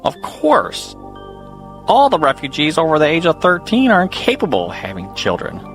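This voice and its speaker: An actor playing a courteous camp official in an audio drama, speaking in a formal, explanatory way. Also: male voice, fairly high pitch, huge pitch swings, moderate pace, a bit bright, soft background music, full character voice. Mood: matter-of-fact. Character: courteous camp official arranging refugee placements.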